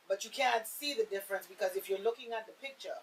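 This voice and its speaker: A woman's voice: medium pitch, fast, low-quality recording. Emphatic woman explaining, faint hiss. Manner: emphatic, rhetorical. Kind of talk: conversational explanation.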